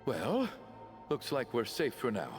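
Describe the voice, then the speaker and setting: An actor playing an anxious, talkative young travelling companion in a video game, fretful and full of exclamations. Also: male voice, medium pitch, huge pitch swings, measured pace, breathy edge, big-room sound, faint music behind, heavily acted. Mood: shaken, relieved.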